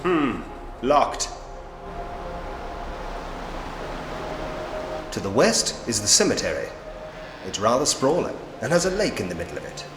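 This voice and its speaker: A man's voice: medium pitch, huge pitch swings, normal speed, background noise. A man playing a genial Victorian police detective with a British accent in a ghost story game, polite, quaint and dryly humorous. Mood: musing, dry. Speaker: player character in a point-and-click ghost story game. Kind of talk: video game voice acting. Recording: echoey reverberant hall sound with music. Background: music.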